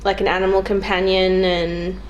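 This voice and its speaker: A woman's voice: mid-range voice, flat delivery, moderate pace, lo-fi sound, slight background noise. A young woman chats casually as a podcast guest, thinking aloud in an informal, hesitant way. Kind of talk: casual chat.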